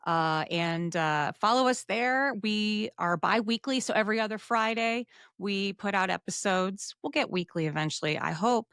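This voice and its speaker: A woman's voice: medium pitch, moderate pace, a bit bright, clear, resonant tone, dry recording. Woman chatting casually, dry close sound. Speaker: podcast guest and co-host of her own show. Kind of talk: casual chat.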